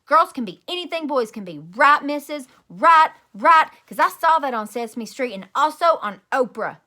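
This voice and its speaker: Storybook kindergarten girl, played by a woman: excitable, headstrong, childish grammar, high and bright. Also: normal speed. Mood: indignant. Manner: childish grammar, emphatic outbursts. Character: excitable bratty kindergarten girl narrator, loud and dramatic.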